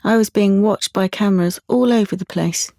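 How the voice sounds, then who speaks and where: A woman's voice: medium pitch, normal speed, creaky, dark tone, no echo, clean studio sound, natural voice. An actress playing a withdrawn, haunted young woman in an audio drama monologue, speaking hesitantly and intimately with a British accent. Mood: troubled, reflective. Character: withdrawn, haunted young woman traumatised by surveillance and a leaked photo.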